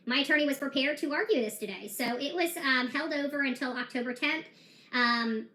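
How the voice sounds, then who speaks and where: A woman's voice: high-pitched, fairly bright tone, roomy sound. A woman who covers true crime, talking casually to her viewers, defiant and blunt, with many fillers and restarts.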